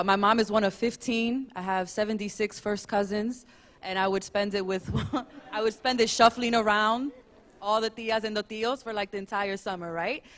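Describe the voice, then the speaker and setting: Lively woman telling family stories. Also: mid-range voice. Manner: lively, animated storytelling. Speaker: panelist. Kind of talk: panel storytelling.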